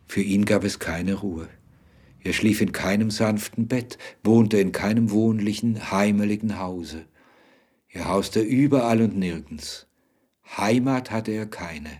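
A man giving a measured, literary narration for radio. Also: lowish voice, darkish tone, husky.

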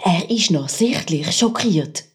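A woman with a Swiss accent and a husky voice playing a conceited, sensation-hungry radio crime reporter in a children's audio drama. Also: on the low side, lively intonation, slow pace, heavily acted. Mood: dramatic, self-important.

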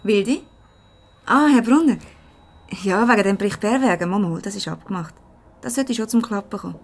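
An actress with a Swiss accent playing a chatty, easily exasperated young secretary in a radio comedy. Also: female voice, mid-range voice, normal speed, slight background noise, lightly acted. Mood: businesslike.

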